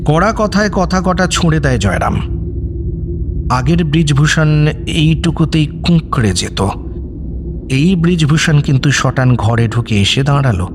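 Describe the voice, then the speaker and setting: A man's voice: a little high, animated, a little slow, long pauses, background noise. A man reading dramatic scripted narration as the storyteller of an audio drama.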